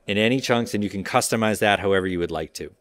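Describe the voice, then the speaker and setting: Male demonstrator, lowish pitch, conversational and explanatory, informal product walkthrough. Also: quick pace, a bit bright. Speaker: audio software company representative giving a demo.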